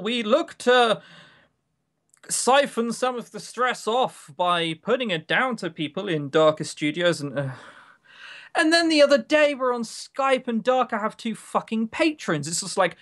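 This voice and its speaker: A man's voice: a little high, fairly bright tone. A man co-hosting a podcast in casual, opinionated chat, grumbling, sarcastic and given to swearing. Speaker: podcast co-host.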